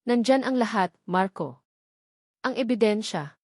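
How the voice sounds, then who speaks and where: A woman's voice: mid-range voice, animated, unhurried, no echo, studio quality. A woman voicing a frightened, remorseful young woman in a romance story. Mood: tearful remorse.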